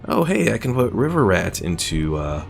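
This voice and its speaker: Low, gravelly man streaming a game. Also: big pitch swings, moderate pace, faint music behind. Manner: thinks aloud, reads out game stats and chat comments with many fillers. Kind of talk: casual live-stream gameplay commentary.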